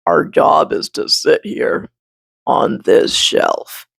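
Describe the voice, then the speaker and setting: A woman voicing a cautious, stay-at-home piece of bark in a children's storybook, sober and hushed. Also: slow. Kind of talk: children's storybook read-aloud.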